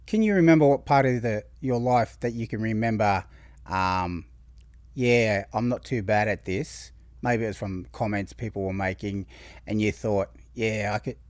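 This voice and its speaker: A man's voice: on the low side, darkish tone, no echo. An Australian man hosting a podcast, upbeat and chatty, asking long rambling questions. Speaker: podcast host. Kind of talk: casual interview hosting.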